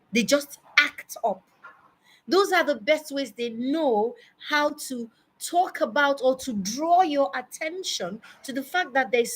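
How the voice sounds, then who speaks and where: Nigerian woman, early 40s, high register, unhurried pace; emphatic, deliberate monologue of personal advice. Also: a bit bright, low-quality recording. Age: early forties. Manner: emphatic, deliberate, with repetition for stress. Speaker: speaker addressing parents.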